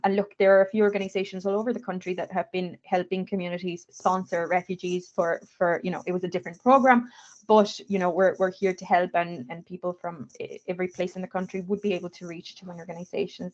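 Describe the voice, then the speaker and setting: Woman briefing over a dull video call, reassuring and quick, plenty of ums. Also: medium pitch. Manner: fast, filler-heavy, reassuring. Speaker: refugee support speaker. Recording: muffled video call audio.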